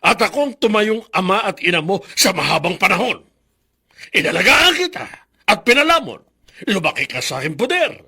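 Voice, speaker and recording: A Filipino actor playing a stern, domineering older father, scolding in a husky, declamatory voice in a radio melodrama. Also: sits high, sharp tone, heavily acted.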